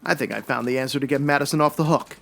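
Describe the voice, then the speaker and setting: A man's voice: medium pitch, rapid-fire, gravelly, fairly bright tone. A man playing a tough, hot-headed, sardonic ex-cop detective in a comedic old-time radio noir. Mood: excited. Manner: hard-boiled, sardonic.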